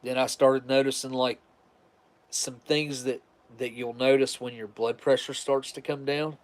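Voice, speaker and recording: A man's voice: medium pitch, level tone, a little slow. Stuffy-nosed man rambling casually. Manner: rambling, restarts often, conversational. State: stuffed-up nasal voice. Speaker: livestream host.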